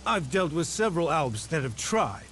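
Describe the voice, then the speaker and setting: An actor playing a wary, commanding resistance leader in a video game, with a stern, demanding and deliberate delivery. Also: male voice, on the high side, big pitch swings, a bit bright, slight background noise. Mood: guarded.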